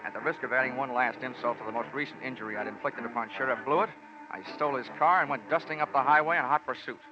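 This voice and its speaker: A man's voice: mid-range voice, quick pace, natural voice. An actor playing a wry, hard-boiled American insurance investigator, narrating his case with dry sarcasm in a 1940s radio drama. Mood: wry, brisk. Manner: sardonic, dry, wordy. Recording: muffled old radio recording with music.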